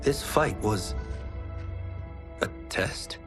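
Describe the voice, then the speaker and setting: A man's voice: fairly low pitch, animated, extremely slow, fairly bright tone, faint music behind, heavily acted. A man playing a humble, earnest young village fighter in a video game, hesitant and questioning. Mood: puzzled. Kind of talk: video game cutscene acting.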